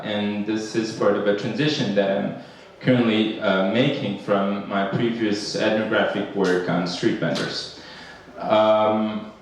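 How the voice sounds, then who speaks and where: A man from Ecuador giving a conference talk, hesitant with many fillers and restarts. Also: lowish voice. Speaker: academic panel speaker. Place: conference room. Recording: echoey hall, speaker far from mic.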